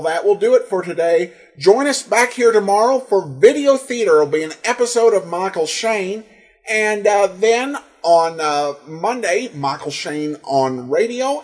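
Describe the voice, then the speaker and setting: A male podcast host speaks off the cuff in a somewhat high-pitched voice. His delivery is halting, with many ums and uhs as he works through commentary and listener feedback. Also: animated.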